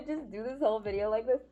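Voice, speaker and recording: Young woman, high voice, awkward rambling vlogger. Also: mellow, lo-fi sound. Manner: awkward, self-deprecating, giggly, rambling. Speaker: audio roleplay voice creator and vlogger. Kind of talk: casual personal update vlog.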